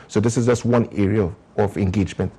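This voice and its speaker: Trinidadian man, TV interview guest, low husky voice, earnest and long-winded. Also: steady tone, fast, warm tone. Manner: earnest, explains at length. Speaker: community organization founder.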